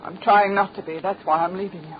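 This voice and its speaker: An actress playing a proud, defiant but anxious wife in an old-time radio drama. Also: female voice, medium pitch, steady tone, a little fast, lightly acted. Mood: firm, defiant. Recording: muffled, noisy old radio recording.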